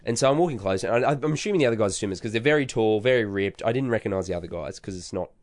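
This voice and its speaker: Lively radio host telling stories. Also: male voice, fairly low pitch, racing. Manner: storytelling, animated and jokey. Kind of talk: casual radio banter.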